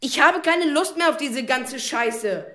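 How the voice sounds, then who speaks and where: Casting: female actor for sullen teenager in radio drama, high young voice, blunt and reproachful, faint background noise. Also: animated, unhurried, fairly bright tone. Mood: annoyed, reproachful. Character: exasperated, blunt teenage child, protective of mother. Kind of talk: German radio drama acting.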